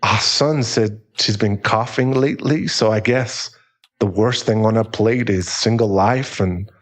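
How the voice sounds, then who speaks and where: A man playing a bitter, guilt-ridden divorced husband in an audio roleplay, halting and emotional, with some swearing. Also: fairly low pitch, natural voice. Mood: resigned.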